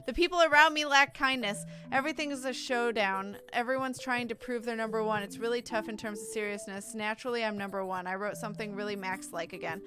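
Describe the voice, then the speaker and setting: A woman game streamer with an expressive, irreverent manner, giving casual let's-play commentary and reading game text aloud. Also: on the high side, fast, few pauses. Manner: expressive, irreverent, reacts bluntly. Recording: game music behind voice. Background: game music.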